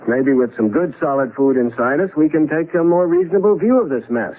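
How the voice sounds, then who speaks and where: An actor playing a flustered American husband in a 1970s radio drama, halting and repeating words as he insists on logical explanations. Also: on the high side, natural voice. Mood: bewildered. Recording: tinny, noisy 1970s radio broadcast.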